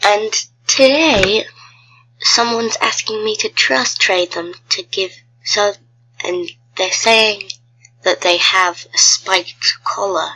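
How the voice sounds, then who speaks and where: A woman's voice: medium pitch, slow pace, pushed and bright, slightly rough, poor audio. A woman with a cold, chatting casually to viewers as she thinks aloud during gameplay commentary. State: has a cold, sounds ill. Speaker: gaming video creator.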